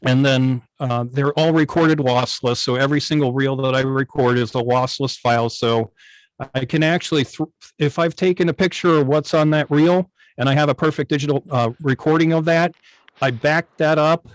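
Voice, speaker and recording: A man's voice: medium pitch, fairly even intonation, normal speed. Give a man with a mid-range voice and a dry, echo-free sound. He explains things casually and in careful detail, like a guest talking shop on an online club meeting. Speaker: guest, old-time radio station operator. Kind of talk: casual technical explanation over a video meeting. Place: remote video call. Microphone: video call microphone.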